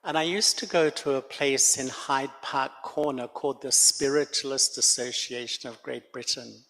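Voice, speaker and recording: An older man, giving a gentle, reflective spiritual talk to a gathering at a meditation center. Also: medium pitch, fairly even intonation, normal speed. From Australia. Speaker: guest speaker. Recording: long hall reverb.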